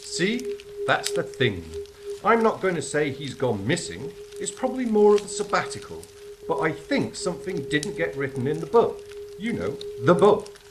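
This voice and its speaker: An actor playing a skeptical, wisecracking angel in a stage drama. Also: male voice, on the high side, sing-song, moderate pace. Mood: sly, persuading. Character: skeptical, wisecracking angel on celestial clean-up crew. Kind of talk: theatrical stage drama acting. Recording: clearly noisy stage recording.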